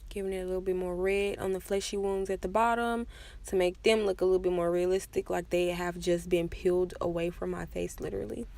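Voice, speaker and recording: A woman's voice: on the low side, level tone, brisk, darkish tone. A woman giving a casual, chatty makeup tutorial voice-over with self-deprecating asides. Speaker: makeup vlogger.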